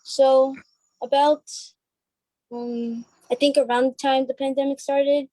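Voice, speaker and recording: A woman's voice: high voice, flat delivery, slow, warm tone, dry recording, rough recording. A young woman chatting casually as an interview guest, tentative and sometimes trailing off. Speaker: stage actress, interview guest. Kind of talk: casual chat.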